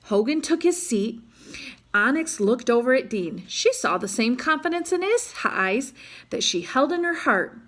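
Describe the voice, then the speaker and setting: Animated, high-voiced woman reading a storybook. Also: measured pace. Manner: dramatic, with asides to young listeners. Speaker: storybook reader. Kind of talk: animated read-aloud of a children's adventure story.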